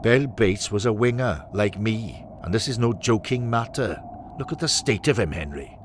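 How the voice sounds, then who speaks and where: A man's voice: sits low, sing-song, normal speed, background noise. A man voicing an earnest, no-nonsense old Welsh ex-footballer with a Welsh accent in an audio story. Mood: stern. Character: stern, loyal old Welsh former footballer.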